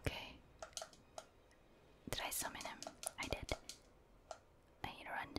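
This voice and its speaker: A woman speaking in a soft, breathy whisper, giving casual ASMR commentary in short remarks as she plays a video game. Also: unhurried.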